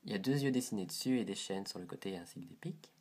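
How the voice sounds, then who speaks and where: A man's voice: low voice, fast, a little mellow. A man with a head cold and a stuffy nose, reviewing a doll to camera in a casual, hesitant way, describing details as he handles it.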